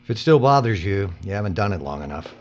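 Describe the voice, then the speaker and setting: A man's voice: on the low side, very animated, fast talker, darkish tone, dull audio, faint music behind. A man playing a hardened, world-weary labourer in an audio drama, with a blunt, sardonic manner.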